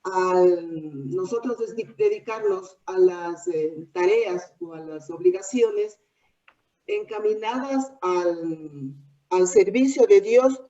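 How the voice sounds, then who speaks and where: A woman with a mellow, soft voice talks through her thoughts in class, pausing and rephrasing as she goes. She sounds far from her microphone, and the call audio is dull and muffled. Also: on the low side. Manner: hesitant, thinking aloud with restarts. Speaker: student. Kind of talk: class participation.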